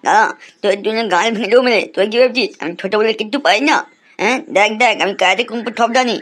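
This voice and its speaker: A woman voicing a bold, whiny little boy with a loud, emphatic delivery in a comedy cartoon. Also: medium pitch, racing, lightly acted. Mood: defiant. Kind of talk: adult comedy cartoon dialogue.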